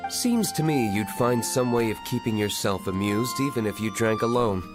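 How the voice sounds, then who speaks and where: A man's voice: mid-range voice, expressive, moderate pace, over music, natural voice. A man playing a stern, aloof young tavern owner in an anime game, curt, cool and formal. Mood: cold, guarded. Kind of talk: anime video game acting.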